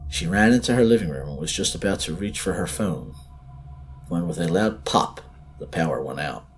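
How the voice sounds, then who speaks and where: A man's voice: low-pitched, expressive, moderate pace, faint music behind. A man reading a scripted horror story as narrator, in a dramatic, suspenseful, emphatic way.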